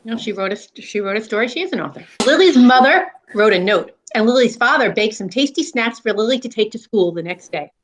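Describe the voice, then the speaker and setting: A woman's voice: on the high side, expressive, fairly quick, hardly any pauses, slight background noise. A woman educator reading a storybook aloud and chatting about it in an earnest, explanatory way. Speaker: school educator. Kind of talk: read-aloud of a children's book and casual discussion.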